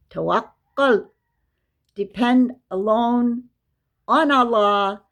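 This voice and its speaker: A woman giving a casual religious lesson, explaining verses and reciting Arabic. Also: medium pitch. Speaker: Quran teacher.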